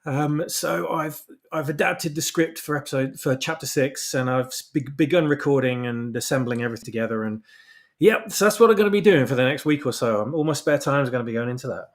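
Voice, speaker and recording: Male podcast guest, medium pitch, loose conversational style, often restarts his phrases. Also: lively intonation, brisk. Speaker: guest, fan audio drama creator. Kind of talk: casual chat.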